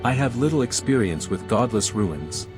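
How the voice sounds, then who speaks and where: A man playing a calm, plainspoken adventurer and party leader in a video game. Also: fairly low pitch, moderate pace, music in the background, natural voice. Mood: curious. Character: calm, level-headed male adventurer leading a party.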